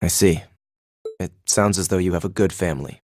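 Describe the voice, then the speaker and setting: Low, reserved young nobleman played by a man. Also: steady tone, darkish tone, a little hiss or hum, lightly acted. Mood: calm, curious. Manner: formal, measured, dry. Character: reserved, cynical young illegitimate son of a duke. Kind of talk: English-language game voice acting.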